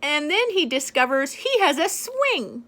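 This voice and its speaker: An older woman reads a picture book aloud to a little one, slow and unhurried. Her voice sits high and bright, gentle and playful as she points out what is on each page. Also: very animated, a little hiss or hum. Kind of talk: storybook read-aloud to a child.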